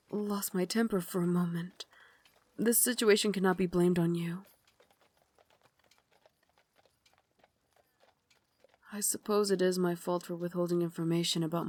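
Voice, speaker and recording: A woman playing a refined, tender but tormented Victorian vampire lady in an ASMR roleplay, speaking in a formal, genteel way with breathy asides. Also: on the low side, darkish tone. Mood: remorseful, soothing. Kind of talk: ASMR roleplay, dramatic monologue.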